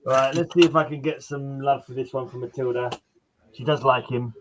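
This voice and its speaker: A man's voice: mid-range voice, steady tone, a little fast, noisy background. A man hosting a casual panel chat, teasing his guests with cheeky banter. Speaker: host.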